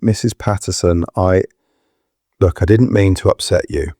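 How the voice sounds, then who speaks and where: An actor playing a decent, weary, protective husband in an audio drama, firm and earnest. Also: male voice, low voice, normal speed, dark tone, no echo, clean studio sound. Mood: apologetic, weary.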